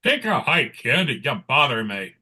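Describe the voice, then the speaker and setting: A man's voice: medium pitch, very animated, unhurried, bright, forceful tone, breathy edge, full character voice. A man playing a grouchy, put-upon department store Santa in a comic-book table read. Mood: dismissive. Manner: griping, exasperated.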